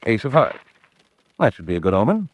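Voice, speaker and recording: Brief: an apologetic, understated gentleman stranger in radio drama, low voice with very varied intonation. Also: very animated, brisk, no room sound. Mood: affable. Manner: courteous, self-deprecating, understated. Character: polite self-deprecating male guest posing as stranded motorist. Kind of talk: old-time radio drama acting.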